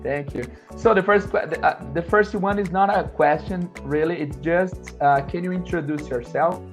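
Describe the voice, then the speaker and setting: A man's voice: on the high side, moderate pace, soft background music. A man, an English teacher interviewing people in casual English.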